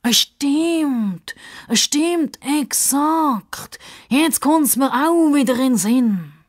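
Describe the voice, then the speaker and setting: A woman playing an excitable, pompous male crocodile in a children's story, with emphatic, old-fashioned manners. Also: sits high, very slow, voice pushed up, full character voice. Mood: excited.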